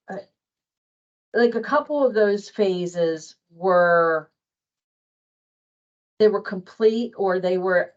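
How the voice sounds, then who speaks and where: A woman talking casually in a work meeting, thinking aloud hesitantly and repeating herself as she goes. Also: on the low side. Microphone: online meeting call. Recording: dull, lo-fi online meeting audio.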